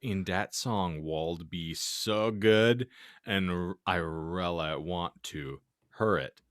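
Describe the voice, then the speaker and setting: A man's voice: low-pitched, slow, no echo, clean studio sound. A man giving a comic dramatic reading of badly written fiction as a podcast co-host, tripping over words and breaking into laughter. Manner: stumbles over misspelled text, breaks into laughter.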